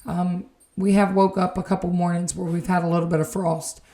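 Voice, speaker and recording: A woman records a late-night voiceover for her garden vlog, and her voice sounds a little raspy and tired. She rambles easily and fills gaps with ums. Her voice sits on the low side and echoes in the room. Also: little pitch movement, brisk, mellow. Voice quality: slightly raspy. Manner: rambling, many ums, self-correcting. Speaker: home and garden vlogger. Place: indoor room at home, voiceover.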